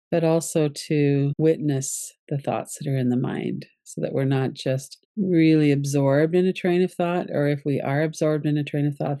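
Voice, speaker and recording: A woman teaching yoga nidra, leading a guided relaxation meditation in a calm, gentle, unhurried way. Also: low-pitched, dry recording, clean studio sound.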